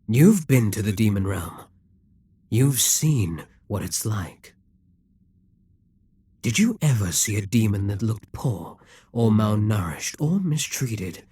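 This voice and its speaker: A man voicing an immortal, scheming and possessive demon king in an audio roleplay, speaking in a measured, persuasive way with quiet whispered asides. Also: fairly low pitch, husky, no room sound, heavily acted.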